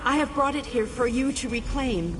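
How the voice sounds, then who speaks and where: Regal guardian goddess for a fantasy game: higher register, formal, grave, with clear background noise. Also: female voice, lively intonation, normal speed. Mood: solemn, grave. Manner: formal, grave, oracular proclamations. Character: solemn otherworldly goddess, a regal guardian of light. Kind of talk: scripted fantasy game dialogue.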